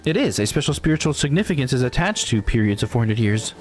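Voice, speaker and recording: A man's voice: mid-range voice, sing-song, quick pace, soft background music, close to an everyday voice. A man voicing an earnest, knowledgeable expert who formally explains local lore in a visual novel game. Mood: earnest, cautionary. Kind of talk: visual novel dialogue read aloud.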